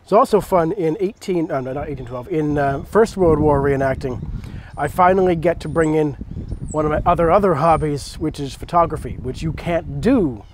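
Male host, thirties, higher-pitched voice, conversational hobbyist explainer, recorded outdoors. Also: moderate pace, slight background noise. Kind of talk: casual hobby chat and cooking demonstration. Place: outdoors in a leafy backyard.

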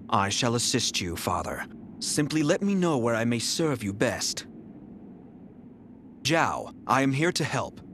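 A proud young warlord's heir in a video game, calm and measured, looking down on everyone around him. His voice sits at an ordinary male pitch, with a faint hiss of noise under the clean studio read. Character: cool, arrogant young male strategist general. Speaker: game character. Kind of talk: game voice clips.

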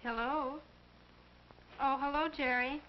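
A woman's voice: high voice, steady tone, takes it slowly. A young woman playing a polite, friendly, sensible teenage girl in a 1940s instructional film. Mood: polite, hesitant. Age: young adult. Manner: neat, courteous. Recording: muffled, noisy 1940s film soundtrack.

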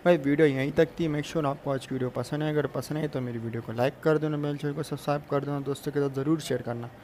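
A man's voice: fairly high pitch, fairly even intonation, rapid-fire, darkish tone, a little hiss or hum. A young man doing casual gaming commentary, speaking informally and directly to his viewers. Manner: addresses viewers informally and repeatedly. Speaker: gaming YouTuber. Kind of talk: casual gameplay news commentary. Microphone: phone screen recorder voice-over.

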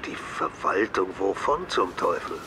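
Low-voiced, weary police boss. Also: male voice, sing-song, measured pace, background noise. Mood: weary, dry. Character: weary, dry male police superior. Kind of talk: German radio drama acting.

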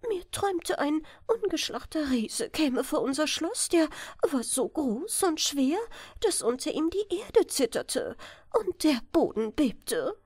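Woman voicing a timid, high-pitched lady's maid. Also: very animated, slow, a little mellow, no echo, clean studio sound, full character voice. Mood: uneasy. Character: timid lady's maid to a fairy-tale princess. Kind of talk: fairy-tale storybook reading.

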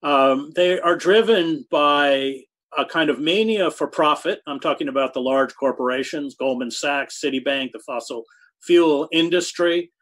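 A man in his sixties answering interview questions over a video call from a home study, speaking thoughtfully with halting restarts and hard emphasis on key words. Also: a little high, fairly bright tone. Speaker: guest, journalist. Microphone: video call webcam.

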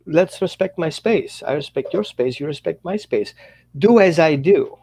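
Casting note: Greek-accented man in his fifties, animated pitch, casual video-call chat, non-native phrasing. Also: moderate pace, vocal fry, breathy edge. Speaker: dog trainer, co-host. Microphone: headset on a video call.

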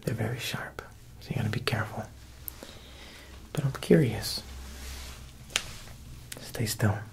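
A young man playing a smooth, quietly menacing Italian mob boss tailor in an ASMR roleplay, speaking softly and unhurriedly at a close mic, with insinuating pauses. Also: lowish voice. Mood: careful, insinuating. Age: young adult. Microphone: close ASMR microphone.

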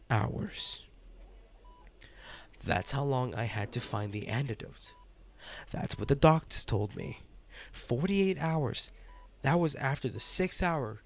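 A man's voice: mid-range voice, gravelly. A man playing an intense, protective male field agent in a dramatic audio roleplay, speaking emotionally and emphatically to his partner. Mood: tense, recounting. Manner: emotional, emphatic, addressing the listener directly.

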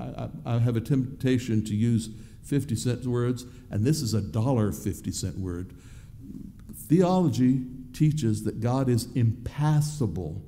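A man preaching a sermon from a church pulpit in a halting, deliberate, explanatory way. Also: mid-range voice, dark tone. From Southern United States. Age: 60s. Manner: halting, deliberate, with repeated words and pauses. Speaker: pastor preaching.

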